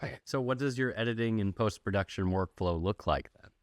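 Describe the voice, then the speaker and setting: A man with a very low, clear voice. He speaks as an interviewer, asking questions in a conversational way. The recording is dry and close. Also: a little fast, dry recording. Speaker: podcast host, interviewer.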